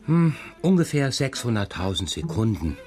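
A man's voice: fairly low pitch, sing-song, moderate pace, darkish tone, faint music behind. A man playing an affectionate, evasive father in a children's radio play. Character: affectionate, evasive father just back home.

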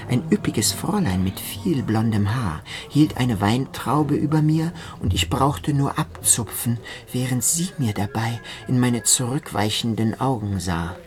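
A man narrating a literary radio drama in the first person. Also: medium pitch. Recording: music behind the voice. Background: music.

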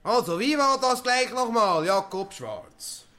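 An actor playing an officious, excitable interrogating judge in a comic radio play, blustering and scolding. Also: male voice, extremely high pitch, unhurried, full character voice.

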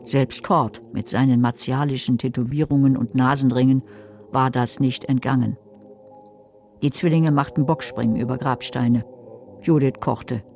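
A woman's voice: very deep voice, steady tone, moderate pace, mellow. An actress playing a wry, scheming woman who narrates a radio drama in a dry, sardonic manner. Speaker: narrator and main character. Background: music.

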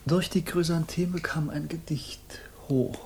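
An actor playing a solemn young hippie poet who speaks in a lofty, formal way, in 1960s radio drama style. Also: male voice, a little high, steady tone, unhurried, darkish tone, a little hiss or hum. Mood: earnest.

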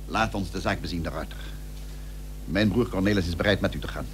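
A man's voice: sits low, brisk, natural voice. An actor playing a shrewd, determined statesman in a historical radio play, formal, persuasive and emphatic. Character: shrewd, determined statesman, commanding yet diplomatic schemer. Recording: old radio recording with faint hum.

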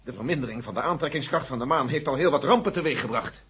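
Earnest, very husky general. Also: male voice, mid-range voice, expressive, fast, a bit bright. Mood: grave, persuasive. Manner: pleading, insistent. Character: earnest, urgent male general defending a secret mission. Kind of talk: 1970 Dutch radio drama acting. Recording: dull, muffled old radio recording.

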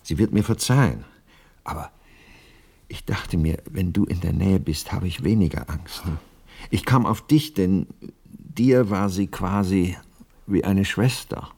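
An actor playing an anxious, earnest widower in a German radio play, pleading and insistent, with a husky voice. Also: male voice, low-pitched, a little slow, darkish tone, exaggerated voice. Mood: earnest, confiding. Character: anxious, earnest widower bent on summoning dead wife.

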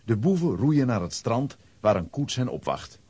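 A man narrating a children's adventure story in a scripted, dramatic style, with a husky voice. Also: medium pitch, very animated, moderate pace, darkish tone, dry recording.

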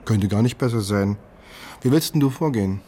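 Casting: man as nosy, dry police detective, lowish voice, naturalistic radio drama, some background noise. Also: brisk, warm tone, natural voice. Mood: probing. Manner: probing, dry, clipped questions. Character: inquisitive, wry male police detective, awkwardly casual.

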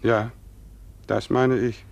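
Gravelly, gloomy farmer. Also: male voice, mid-range voice, level tone, slow, darkish tone, no echo. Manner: grave, fatalistic. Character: gloomy, resolute male farmer fearing the island's doom. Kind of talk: German radio play acting.

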